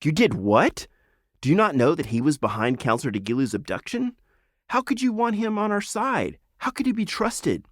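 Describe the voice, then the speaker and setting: A man's voice: on the high side, sing-song, moderate pace, dry recording, studio quality, pitched above the performer's own voice. A man voicing an earnest, indignant young sword-bearing hero in a storybook, urgent and questioning.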